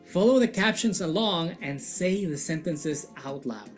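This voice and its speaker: A woman's voice: fairly low pitch, animated, slow pace, soft background music. A woman hosting a scripted language-lesson video in a playful, jokey manner. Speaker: video host.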